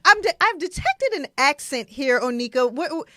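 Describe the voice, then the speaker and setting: A woman's voice: high voice, animated, normal speed, no room sound, clean studio sound. A woman hosting an interview show who asks questions and affirms her guest often. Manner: warm, encouraging, frequent affirmations. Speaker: show host. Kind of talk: interview chat.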